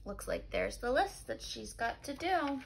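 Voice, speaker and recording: A woman teacher reading a story aloud to children in simple phrases, pausing to ask them questions and confirm answers. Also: high-pitched, lively intonation, creaky, a little hiss or hum. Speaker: life skills teacher.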